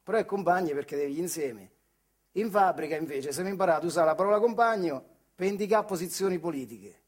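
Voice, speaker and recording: A male actor playing a working-class factory worker telling his memories in colloquial, expressive theatrical style, with a central Italian accent from the Marche. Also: high voice, fast, husky. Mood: wry, fond. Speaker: stage actor performing a monologue. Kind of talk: theatrical dialect storytelling.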